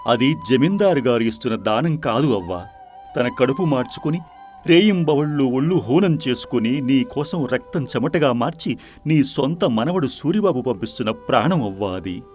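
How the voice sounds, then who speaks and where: A kind, earnest villager in a dramatic moral story, voiced by a man. His delivery is emphatic and very animated at a medium pitch. Soft music runs behind. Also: moderate pace, close to an everyday voice. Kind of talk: melodramatic story acting.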